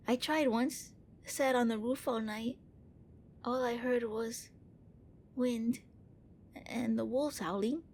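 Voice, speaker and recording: Woman playing a high-voiced, gentle vampire prince. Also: a little hiss or hum. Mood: delighted, wistful. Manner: hesitant, politely fussy, earnest. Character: gentle, flustered young vampire prince, bookish and courteous. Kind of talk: ASMR roleplay voice acting.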